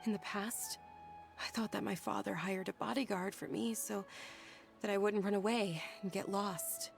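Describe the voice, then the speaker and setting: A woman voicing a gentle, thoughtful young noblewoman in a game, soft-spoken and earnest. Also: medium pitch, steady tone, moderate pace, faint music behind, lightly acted. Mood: calm. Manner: soft-spoken and earnest, with hushed breathy moments.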